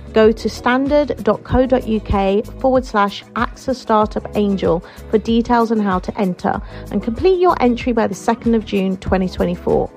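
A woman company founder delivering a scripted sponsor message. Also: medium pitch.

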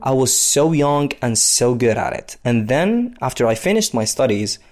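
A man from the Democratic Republic of Congo, in his thirties, tells his own story. His voice sits in a middle register. He talks conversationally and often pauses on fillers. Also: mid-range voice, big pitch swings, loud music behind the voice. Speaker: interviewee, basketball player. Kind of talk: personal storytelling.

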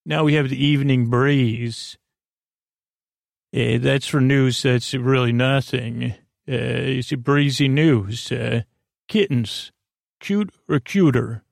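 A man with a creaky voice hosting a bedtime podcast in a meandering, halting, goofy monologue that keeps interrupting itself. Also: mid-range voice, no room sound, clean studio sound. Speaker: podcast host. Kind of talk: rambling bedtime monologue to help listeners sleep.

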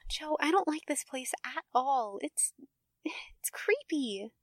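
A woman's voice: high voice, animated, dry recording, heavily acted. A woman playing a timid, frightened teenage girl in the style of 1940s radio drama, speaking in a hesitant way. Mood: uneasy. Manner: hesitant, halting, fearful. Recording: noisy recording with rain.

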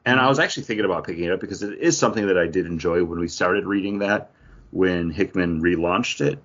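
A man with a very deep voice chats casually as a co-host on a podcast. His talk is informal and conversational. The audio is somewhat dull and lo-fi. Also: fast, fairly bright tone. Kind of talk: casual chat.

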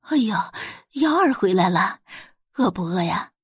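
A woman's voice: medium pitch, lively intonation, unhurried, breathy edge, no room sound, studio quality, exaggerated voice. A woman voicing a doting rich mother in an audio drama, fussy, theatrical and exaggerated in every reaction. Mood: fussing, worried. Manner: exaggerated, gushing, fretful.

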